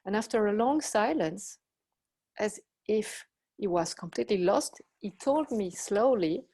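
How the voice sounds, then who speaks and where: A middle-aged woman from Belgium with a Belgian French accent, giving a talk as a professor and telling personal anecdotes in a measured, reflective way with pauses and self-corrections. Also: medium pitch, lively intonation, warm tone.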